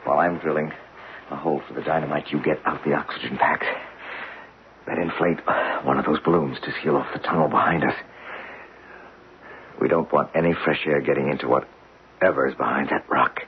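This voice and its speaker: An actor playing a bold, curious geologist who gives terse orders in a radio mystery drama. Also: male voice, rumbling low, fairly even intonation, normal speed, long pauses. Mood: focused, cautious. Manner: commanding, giving terse instructions. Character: bold, curious male geologist leading an underground expedition. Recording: dull, noisy old radio broadcast recording.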